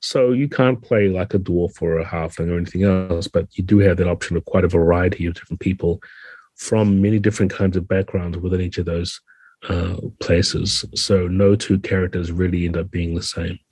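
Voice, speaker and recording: A man's voice: low voice, steady tone, normal speed, a little mellow, breathy edge, no echo. A man giving a thoughtful, conversational explanation as an interview guest. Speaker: podcast guest, game designer.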